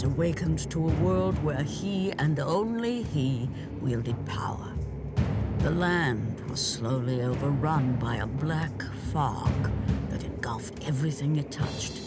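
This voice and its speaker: A man's voice: fairly high pitch, expressive, takes it slowly. A man giving dramatic scripted narration for a mythic video game, solemn and portentous. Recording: loud music behind the narration.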